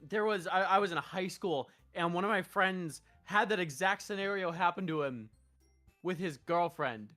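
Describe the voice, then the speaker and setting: A woman's voice: fairly low pitch, moderate pace, no echo. A woman streaming a game, switching between casual, sweary chat and read-aloud lines, with music behind her. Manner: sweary, expressive.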